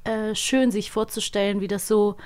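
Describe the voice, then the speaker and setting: A woman's voice: medium pitch, steady tone, normal speed, darkish tone, smooth. A woman hosting a casual interview, speaking in an appreciative, reflective manner. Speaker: interviewer, podcast host. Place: studio.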